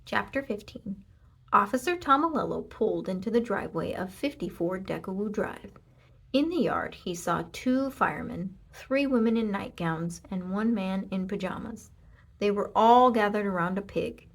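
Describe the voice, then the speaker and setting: A woman narrating a children's storybook in an expressive read-aloud style. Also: mid-range voice, normal speed, vocal fry. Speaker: storybook reader.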